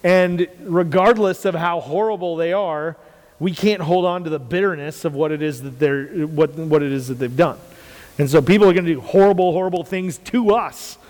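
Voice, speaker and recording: A man from the United States with a loud, carrying voice, talking earnestly in a casual faith talk and repeating phrases for emphasis. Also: fairly high pitch, normal speed, long reverb tail.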